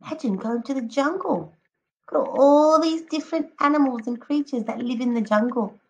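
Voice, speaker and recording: A woman teacher reading a picture book aloud to children, expressively, addressing them directly and encouragingly with drawn-out emphatic words. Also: high-pitched, highs cut off. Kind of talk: expressive children's read-aloud with prompting questions.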